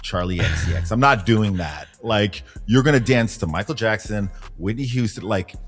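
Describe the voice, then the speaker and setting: Low-pitched man, casual DJ podcast host. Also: moderate pace, soft background music. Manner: slangy, joking, swearing. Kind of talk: casual group chat, reading music charts.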